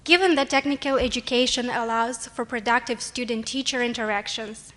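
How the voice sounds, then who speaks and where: A young woman delivers a prepared speech from a podium. Her voice sits a little high and rings bright and crisp. She reads carefully in a formal, earnest way into the microphone. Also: fairly even intonation, fairly quick. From Moldova. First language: Romanian. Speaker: award nominee. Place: luncheon hall.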